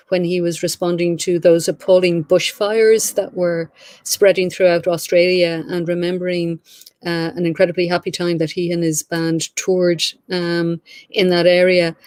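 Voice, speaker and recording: A woman's voice: on the low side, a little mellow, no echo. A woman giving an informal spoken introduction, a little hesitant as she gathers her thoughts. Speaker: anthology editor introducing a reader.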